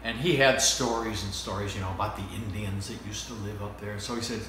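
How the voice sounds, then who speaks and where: A man's voice: on the low side, steady tone, brisk. An American man in his seventies telling rambling, wry anecdotes in a casual, playful way, as if for an oral history. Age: 70s. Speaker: interviewee, storyteller. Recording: echoey room with clear hum and noise.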